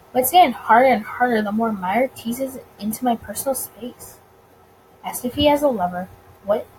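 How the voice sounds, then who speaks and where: A woman reading a visual novel aloud in an expressive narrating style, occasionally tripping over words. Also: fairly high pitch, a little hiss or hum, rough recording.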